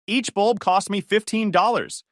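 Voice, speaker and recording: A woman's voice: unhurried, no room sound, clean studio sound, lightly acted. A man voicing a furious middle-aged woman neighbor who rants indignantly over her ruined garden. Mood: ranting. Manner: shrill, indignant ranting.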